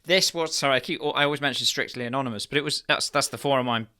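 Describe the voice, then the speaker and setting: Brief: male podcast co-host, bright forceful voice, medium pitch, frank sweary delivery that rambles and circles back. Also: fast talker. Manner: frank, profane, rambling with repeated phrases. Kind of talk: casual candid chat.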